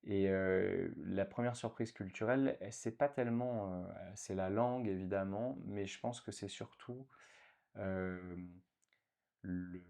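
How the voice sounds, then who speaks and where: A man's voice: low-pitched, measured pace, creaky, dark tone. A man in his thirties from France, a guest in a casual interview, talking with many fillers and restarts.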